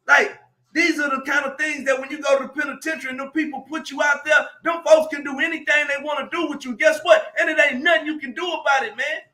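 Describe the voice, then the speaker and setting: A man, speaking in a very high, piercingly bright voice. He gives an emphatic, preachy motivational talk on a live stream. He often raises his voice to drive his points home. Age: 40s.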